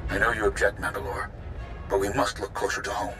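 Grim warrior advisor in a game, very sharp edgy voice, low, wary. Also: male voice, flat delivery, fairly quick, faint music behind. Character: wary, hawkish male Mandalorian warrior advisor. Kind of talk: dramatic video game acting.

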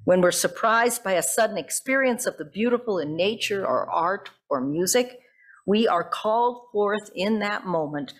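A woman's voice: medium pitch, animated, moderate pace. Older woman pastor giving a sermon. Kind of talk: sermon read from notes with informal asides. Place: church sanctuary at a pulpit. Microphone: gooseneck pulpit microphone.

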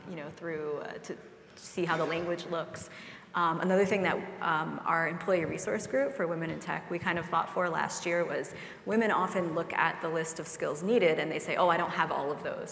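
A woman's voice: low voice, vocal fry, fairly bright tone, a little hiss or hum. A woman speaking as a panelist at a live conference discussion, casual and chatty. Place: large conference hall stage. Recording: roomy hall with long reverb.

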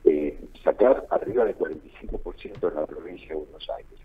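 A man's voice: a bass voice, a little fast, husky. A man with an Argentine accent giving political analysis in a radio interview, repeating phrases for emphasis. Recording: narrow phone-like line, muffled.

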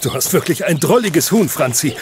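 Affable male boss played by an actor, lively and higher-voiced, children's radio play over music. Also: normal speed, lightly acted. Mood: amused, affable. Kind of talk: children's audio drama acting.

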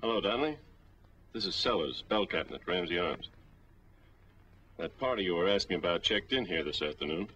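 An actor playing a businesslike hotel bell captain informant in a 1940s noir, matter-of-fact and brisk. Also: male voice, low-pitched, lively intonation, pushed and bright, husky, exaggerated voice, rough recording. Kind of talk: 1940s film noir acting.

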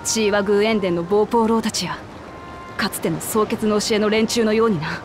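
A woman voicing a young lion-woman warrior queen in a video game, passionate and earnest, with rough, tomboyish speech. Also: mid-range voice, brisk, background noise, close to an everyday voice. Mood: grave, resolute. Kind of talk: dramatic video game voice acting.

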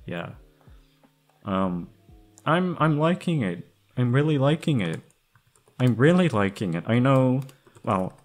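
Male online creator, fairly low pitch, loose self-deprecating chat with casual swearing. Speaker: ASMR roleplay creator. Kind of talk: casual rambling chat to listeners. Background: background music.

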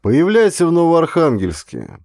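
A man voicing a swaggering Siberian Cossack adventurer in an audiobook, bold and jovial, with drawn-out calls. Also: fairly high pitch, wildly swooping pitch, gravelly, darkish tone, no echo, clean studio sound, pitched above the performer's own voice, exaggerated voice. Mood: friendly.

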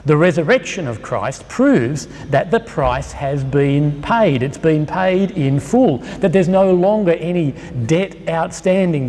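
Man, Australian accent, middle-aged pastor, sermon, mellow tone, lectern mic, long church-hall reverb. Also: fairly high pitch. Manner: emphatic, gesturing, slows on key phrases. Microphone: lectern microphone.

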